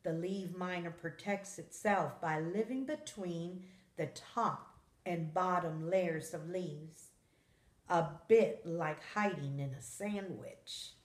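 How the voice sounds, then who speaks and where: Slow-reading woman in an echoey room. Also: fairly low pitch. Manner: measured, expressive storytelling for children. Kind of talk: children's nonfiction read-aloud.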